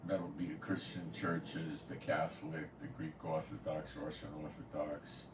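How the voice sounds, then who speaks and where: A man's voice: a bass voice, flat delivery, measured pace. A man hosting a prophecy commentary channel, talking in a casual, rambling monologue with a blunt, scoffing, opinionated manner. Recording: echoey room, far from the mic, faint noise.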